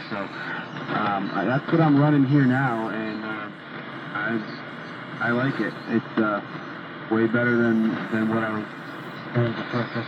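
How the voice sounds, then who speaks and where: A man chatting casually as a ham radio operator, upbeat and rambling with lots of fillers. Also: on the low side. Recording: dull radio receiver with static.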